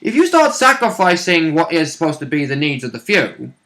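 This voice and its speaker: A man with a sharp, forward voice that sits a little high. He talks casually as a role-playing gamer recounting play, swearing freely and acting out bits as he goes. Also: a little fast. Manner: sweary, animated reenacting of moments. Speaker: tabletop role-playing game player. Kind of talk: casual chat recounting a game session. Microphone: recorded conversation for a vidcast.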